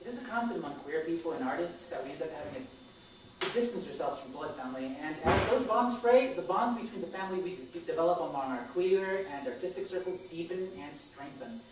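A woman's voice: deep voice, animated, a little fast. Woman in her 30s reading her own monologue onstage, really echoey, bit of noise. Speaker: performer at a live stage reading. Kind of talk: reading a personal monologue aloud to an audience. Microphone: distant camera microphone. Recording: echoey theater, far-off camera, faint noise.

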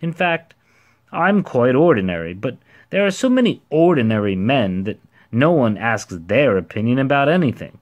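A man voicing a proud, cheery storybook man who declares things with bright self-importance. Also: mid-range voice, big pitch swings, moderate pace, lo-fi sound, pitched above the performer's own voice, natural voice. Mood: impatient, explanatory. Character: proud, cheery, impatient ordinary man posing as a giant. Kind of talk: storybook character voice.

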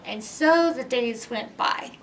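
A middle-aged woman hosting a read-aloud story time for young children, animated and teacherly in manner. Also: fairly high pitch, takes it slowly. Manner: animated, exaggerated, teacherly, asking questions. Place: indoors in a library, by bookshelves. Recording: roomy distance from mic, background noise.

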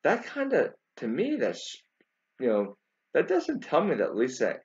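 Man in his forties, informal commentary, very varied pitch, halting with repeated words, emphatic, muffled lo-fi webcam audio. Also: wildly swooping pitch, a little mellow. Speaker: video commentator. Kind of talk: informal spoken commentary to camera. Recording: dull lo-fi webcam sound.

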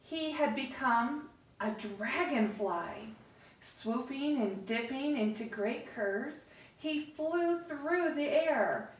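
A middle-aged woman reading a picture book aloud to children, with deliberate, expressive pauses, recorded across a living room. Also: high-pitched. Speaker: story time host reading to children. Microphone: camera across the room. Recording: echoey room, distant microphone.

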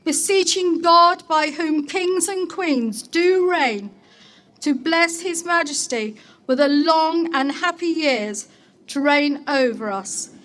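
A woman speaking as a mayor at an outdoor ceremony, reading a formal public address in a solemn, deliberate, declamatory manner. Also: high voice, fairly bright tone. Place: outdoor market place ceremony.

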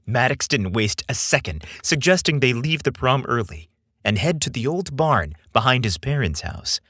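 A man narrating a scripted romance story as a podcast host, in an expressive storytelling style. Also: medium pitch, moderate pace, slightly rough, dry recording, clean studio sound.

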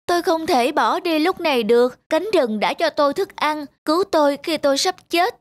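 A woman voicing a loyal, kind male elephant in a cartoon, earnest and gentle. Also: high-pitched, animated, moderate pace. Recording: music behind the voice.

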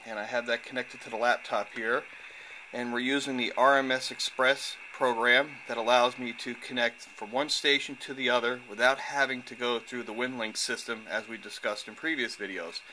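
A man's voice: medium pitch, fairly even intonation, moderate pace, sharp tone, slight background noise, rough recording. A man hosting an instructional video, explaining things in a tutorial narration style. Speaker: host of amateur radio tutorial channel.

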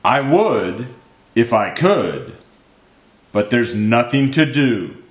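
A man voicing a big, gentle elephant in a rhyming children's story, apologetic and easily panicked. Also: medium pitch, big pitch swings, takes it slowly. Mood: sad, apologetic. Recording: roomy, echoing sound.

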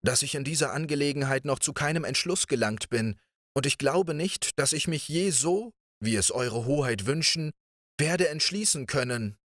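A man voicing a clever young princess who argues politely with a raised, lively voice. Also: moderate pace, no room sound, studio quality. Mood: hesitant. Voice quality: polite, reasoning, raised pitch.

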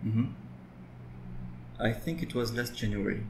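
A man in his thirties speaks in a subdued, businesslike manner for an office ASMR roleplay. His voice is on the low side and very even in pitch. It is recorded across a desk in a noticeably echoey office. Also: measured pace, creaky. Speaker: ASMR performer playing an office worker. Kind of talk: ASMR office roleplay, phone talk and muttering over paperwork. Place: office with large windows over a street. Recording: roomy office echo, background noise.

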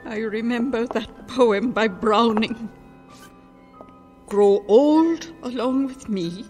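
An actress in a radio drama playing a gentle, selfless, loving elderly wife. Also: female voice, on the high side, slow, in a large hall, soft background music. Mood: tender, reassuring.

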